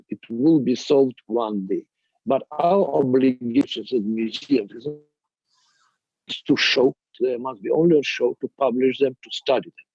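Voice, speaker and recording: An older man with a Russian accent gives a halting, emphatic presentation as a museum director, in non-native English. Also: mid-range voice, warm tone, no echo, highs cut off. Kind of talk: extemporaneous panel presentation.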